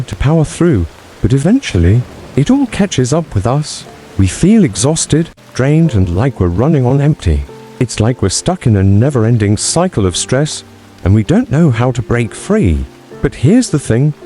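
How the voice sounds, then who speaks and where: Mellow man guiding a meditation, lively intonation. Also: medium pitch. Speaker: meditation guide and channel host. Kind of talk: scripted guided meditation narration. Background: background music.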